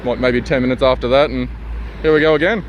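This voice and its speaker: A man's voice: medium pitch, steady tone, fast, a little hiss or hum, rough recording. A man from Slovakia, arguing with police on a street outdoors, exasperated and defiant, recorded on a handheld phone. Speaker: furniture remover stopped by police. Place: outdoors on a suburban street with traffic.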